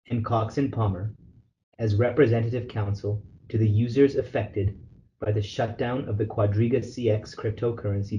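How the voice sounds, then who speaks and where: A man lawyer reading a formal legal letter aloud on a conference call, in a measured, formal way. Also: lowish voice, warm tone. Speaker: lawyer, representative counsel leading a call. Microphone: telephone conference call. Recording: dull conference phone call with noise.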